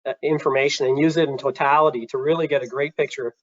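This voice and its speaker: A man speaking on a virtual panel, pausing and restarting often with fillers as he explains. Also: mid-range voice, fairly even intonation, no echo. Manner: halting, many restarts and fillers. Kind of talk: panel discussion. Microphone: video call. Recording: muffled video call audio.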